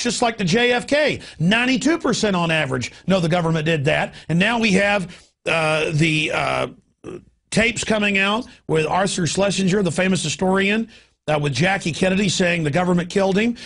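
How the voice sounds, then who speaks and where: A male talk radio host with a high, raspy voice that grinds into heavy gravel. He delivers opinionated political talk emphatically, ranting and piling one example on another. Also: fairly bright tone.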